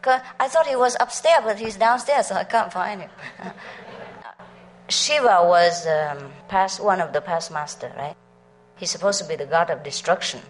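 Middle-aged woman teacher, lower register, laughs and quizzes her audience as she tells stories. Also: moderate pace, slight background noise, poor audio. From Vietnam. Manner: chatty, laughs often, asks the audience questions. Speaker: spiritual teacher. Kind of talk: storytelling talk to a meditation group.

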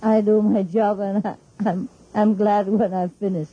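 A woman's voice: mid-range voice, fairly even intonation, unhurried, dark tone, husky, lo-fi sound. A middle-aged woman with a Vietnamese accent, a spiritual teacher giving an informal, chatty talk to her disciples, laughing often between her phrases.

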